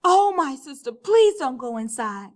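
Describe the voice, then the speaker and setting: A woman's voice: high-pitched, sing-song, unhurried, voice pushed up. A woman voicing a hysterical, frightened young woman in a storybook read-aloud, crying out and pleading. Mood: frantic pleading.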